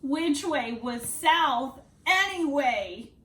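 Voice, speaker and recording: A woman reading a children's picture book aloud expressively, with dramatic, deliberate phrasing and emphasised words. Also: high voice, lively intonation, fairly bright tone. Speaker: read-aloud storyteller.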